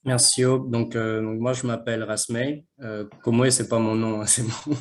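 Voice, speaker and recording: A man's voice: medium pitch, steady tone, normal speed. A man who works for an impact investment fund, speaking on a webinar panel in a hesitant way, with many fillers and restarts.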